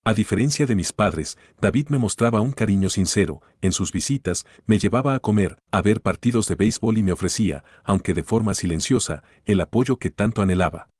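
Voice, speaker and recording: Deep-voiced man narrating a story. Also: a little fast, hardly any pauses, darkish tone, slightly rough, dry recording, studio quality. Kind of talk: first-person story narration.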